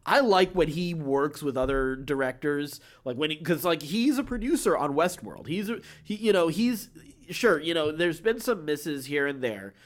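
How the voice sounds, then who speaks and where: A man's voice: on the high side, animated, moderate pace. A man co-hosting a podcast, chatting casually about pop-culture news in a conversational, rambling way. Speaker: podcast co-host.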